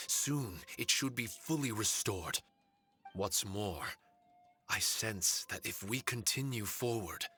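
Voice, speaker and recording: Solemn, bright low-voiced spirit warrior. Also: male voice, husky, full character voice. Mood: reflective. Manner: formal, measured, earnest. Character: solemn, courteous male shikigami spirit warrior with fragmented memories. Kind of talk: anime game voice acting. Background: faint music.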